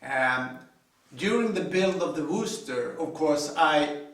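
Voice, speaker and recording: Echoey, slow-talking Swedish man. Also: fairly high pitch, lively intonation, fairly bright tone. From Sweden. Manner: halting, deliberate. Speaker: hobby builder presenting own video series. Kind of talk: informal explanatory talk to camera. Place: indoor home garage workshop. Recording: echoey garage, distant microphone.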